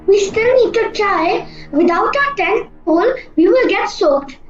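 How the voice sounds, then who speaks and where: Very high-pitched bossy young sister played by a woman. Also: lively intonation, faint music behind. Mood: scolding, insistent. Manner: brisk, scolding, practical. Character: sensible, bossy young sister lost in a forest. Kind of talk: amateur radio play acting. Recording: muffled, echoey room recording.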